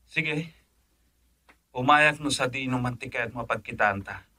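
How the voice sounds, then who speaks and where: Bright-voiced, eager, teasing man. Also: mid-range voice, unhurried. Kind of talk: radio drama acting.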